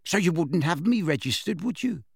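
A man's voice: fairly high pitch, lively intonation, moderate pace, vocal fry, husky, dry recording, studio quality, pitched above the performer's own voice, heavily acted. A man voicing a nervous, batty old woman in an audiobook, with a quavery voice. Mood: defensive. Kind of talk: audiobook character voice.

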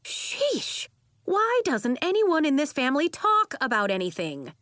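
A woman's voice: medium pitch, big pitch swings, unhurried, a bit bright, no echo, studio quality. A woman voicing a brash, outspoken, vibrant aunt in a story, with a blunt, breezy, exclamatory way of talking. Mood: exasperated.

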